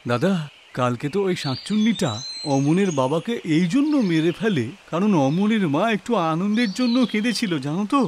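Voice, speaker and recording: A man's voice: high-pitched, animated, brisk, a little hiss or hum. An actor playing a worried, talkative young village man in a horror story, chatting and explaining things. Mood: worried gossip. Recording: music behind the voice.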